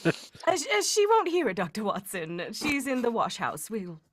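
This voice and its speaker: A woman's voice: fairly high pitch, huge pitch swings, normal speed, no echo, studio quality. An actress playing a proper, anxious young lady who seeks help in a live 1940s-style radio mystery, polite and earnest. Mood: earnest, nervous.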